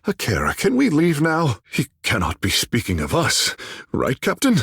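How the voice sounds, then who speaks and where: Vain, theatrical young demigod with wildly swooping pitch. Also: male voice, huge pitch swings, normal speed, gravelly, fairly bright tone, slightly rough, dry recording, clean studio sound, exaggerated voice. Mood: haughty, playful. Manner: theatrical, haughty, playful. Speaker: video game companion character. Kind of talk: scripted game voice lines and battle barks.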